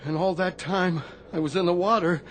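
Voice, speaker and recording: A haunted American navy man, speaks in a higher male register. He confesses haltingly, frightened and weighed down by guilt, in radio drama style over faint background noise. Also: steady tone, a bit bright. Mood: fearful confession. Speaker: actor.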